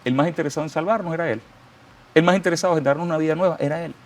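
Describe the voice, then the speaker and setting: A man's voice: a little high, very fast. A man with a Venezuelan accent, a guest giving a fervent, preacherly testimony and quoting scripture. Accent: Venezuelan Spanish. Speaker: interview guest. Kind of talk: testimony interview.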